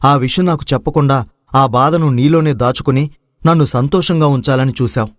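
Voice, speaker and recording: A man's voice: medium pitch, normal speed, no echo, clean studio sound, natural voice. A man playing an earnest, respectful, devoted young son who farms, in a dramatized moral story. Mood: heartfelt. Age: young adult.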